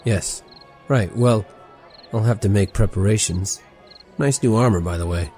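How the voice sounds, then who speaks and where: A man playing a gruff warrior companion with a dry, terse way of speaking. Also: fairly low pitch, measured pace, dark tone, soft background music, close to an everyday voice.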